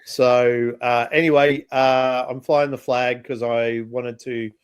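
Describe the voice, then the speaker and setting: A man of middling pitch co-hosting a podcast, relaxed and chatty about comics. He drops in quick agreements and stumbles over repeated starts. His voice is recorded dry, with no echo around it. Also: smooth. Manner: easygoing, quick agreements, stammered restarts. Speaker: podcast co-host. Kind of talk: casual chat about comics.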